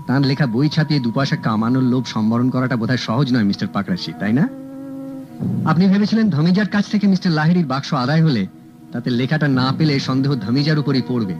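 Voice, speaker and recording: An actor playing a sharp, confident private detective who calmly and cuttingly accuses a suspect. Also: male voice, mid-range voice, lightly acted. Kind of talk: 1970s radio drama acting. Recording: dull old radio recording, faint music.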